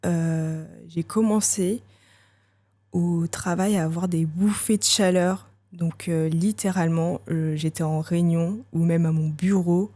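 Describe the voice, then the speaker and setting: A woman in her thirties, a podcast guest telling her own story candidly, thinking aloud with frequent hesitant pauses. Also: on the low side, steady tone, mellow. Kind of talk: candid personal testimony.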